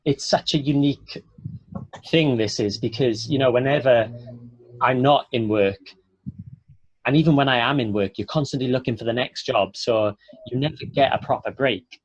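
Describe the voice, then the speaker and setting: A Welsh man speaks at a middling pitch, chatty and earnest, like an actor encouraging students in an informal interview. The sound is close and dry, a slightly muffled webinar recording. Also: moderate pace, breathy edge, no echo. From south Wales.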